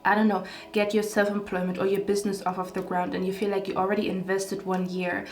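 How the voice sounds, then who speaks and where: A woman's voice: mid-range voice, little pitch movement, fast talker. A woman giving a casual pick-a-card tarot reading to camera, rambling with many fillers and asking "okay?" after her phrases. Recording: echoey room.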